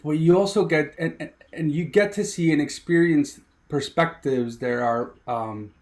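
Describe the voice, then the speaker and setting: A man's voice: fairly high pitch, a little slow, a little mellow. A man in his thirties from Miami, a sports producer telling his story as a guest, eager and rambling with many fillers. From Miami, USA. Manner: eager, rambling, heavy on "you know" fillers. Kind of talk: casual interview storytelling.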